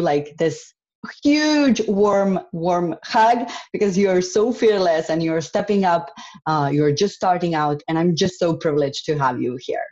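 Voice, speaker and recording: A woman's voice: lowish voice, a little mellow, lo-fi sound. A woman hosting a podcast interview, upbeat and enthusiastic. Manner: enthusiastic, laughs often. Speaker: podcast host. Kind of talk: casual interview chat.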